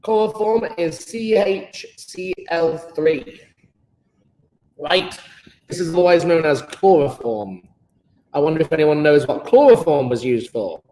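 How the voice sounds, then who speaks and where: Playful British chemistry teacher, echoey room. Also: male voice, on the high side, measured pace, mellow. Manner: playful and enthusiastic, joking with pupils. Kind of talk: live online lesson.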